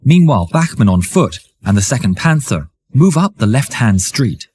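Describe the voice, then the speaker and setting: A man reading dramatic scripted war history narration in a theatrical, suspenseful way, with a husky, raspy voice. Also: medium pitch, sing-song, normal speed, a little mellow, slight background noise.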